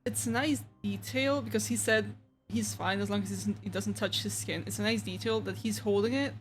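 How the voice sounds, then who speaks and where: A woman's voice: mid-range voice, a little fast. Woman musing aloud over game music. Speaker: streamer. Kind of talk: casual game commentary, reacting aloud.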